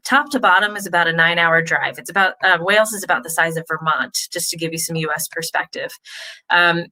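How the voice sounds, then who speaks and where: Woman host from the United States, friendly and upbeat livestream presenter, bright forward voice, slightly low pitch, heard over a video call. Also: low-quality recording. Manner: chatty and upbeat, with frequent fillers. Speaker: host of a university Q&A. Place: home in Wales.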